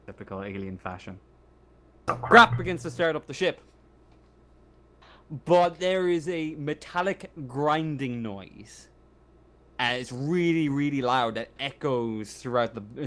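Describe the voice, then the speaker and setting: A man acting as game master, narrating a tabletop roleplaying session in a halting, conversational way. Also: mid-range voice, bright, forceful tone.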